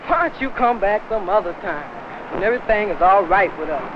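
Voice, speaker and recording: A man's voice: high-pitched, lively intonation, a little fast. A man playing a defiant teenage boy, dismissive of adults, in an educational film. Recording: muffled, noisy 1960s film sound.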